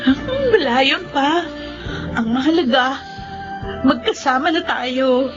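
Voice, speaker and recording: A woman's voice: high voice, a little slow, dull audio, music in the background. A woman playing an earnest, wounded young Filipino daughter who tells her life story in an emotional drama. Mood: tender, apologetic. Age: young adult. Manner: heartfelt, earnest. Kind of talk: emotional radio-style drama narration and dialogue.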